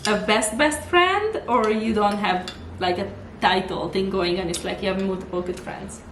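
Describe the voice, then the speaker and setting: A young woman hosting a casual makeup vlog, chatty and animated in speech, full of "like" and "girl.". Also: mid-range voice, normal speed. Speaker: vlog host. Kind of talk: casual chat while doing makeup. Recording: echoey room, faint noise.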